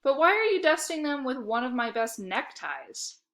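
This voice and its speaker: A woman's voice: high voice, big pitch swings, normal speed, a bit bright, heavily acted. A woman voicing a puzzled, matter-of-fact dad in a children's storybook.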